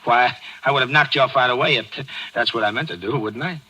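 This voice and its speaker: An actor playing a cruel, slangy gangster in an old radio thriller, with menacing wisecracks. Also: male voice, mid-range voice, fast talker, pushed and bright, husky, low-quality recording. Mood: nervous bargaining. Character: sneering, cruel male gangster who toys with victims. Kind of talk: 1940s radio thriller acting. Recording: old, harsh radio drama recording.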